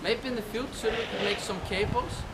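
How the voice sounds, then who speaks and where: A man's voice: sits high, fairly quick. Icelandic-accented male tour guide outdoors. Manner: chatty, quizzes the group with questions. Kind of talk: guided walking tour talk. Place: outdoors on a city street. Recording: loud street noise, from a distance.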